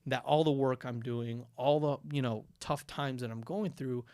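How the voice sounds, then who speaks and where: A man's voice: medium pitch, moderate pace, clear, resonant tone, no room sound, clean studio sound. A young man from Ecuador, a podcast guest telling stories casually with lots of "like" and "dude". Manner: animated storytelling, frequent "dude" and "like", many restarts.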